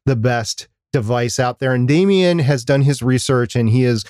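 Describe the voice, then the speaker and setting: A man's voice: medium pitch, moderate pace, darkish tone, dry recording, clean studio sound. A man co-hosting a podcast, giving practical advice in a casual, conversational way. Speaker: podcast co-host.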